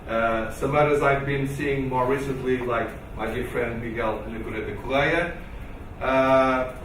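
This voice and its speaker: A man's voice: mid-range voice, a bit bright, a little hiss or hum. A man from Portugal with a Portuguese accent gives formal opening remarks at a conference, with many fillers and restarts. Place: large conference hall. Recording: echoey hall, mic from a distance.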